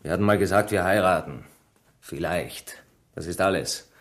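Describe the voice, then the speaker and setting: A man's voice: sits low, normal speed, natural voice. An actor in a German radio play, playing a bitter, evasive young village man with a crippled leg, curt and defensive.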